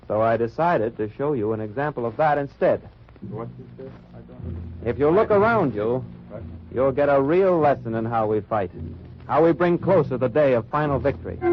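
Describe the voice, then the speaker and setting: A man's voice: mid-range voice, close to an everyday voice. A man acting as an American wartime undercover agent, telling his mission in an understated hard-boiled way in a 1950s radio drama. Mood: confident, defiant. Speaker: actor and first-person narrator. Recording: muffled old radio recording, music behind.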